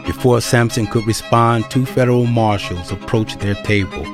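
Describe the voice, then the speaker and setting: A man's voice: fairly low pitch, moderate pace, music in the background. A man narrating a scripted audio drama in a wry storytelling manner.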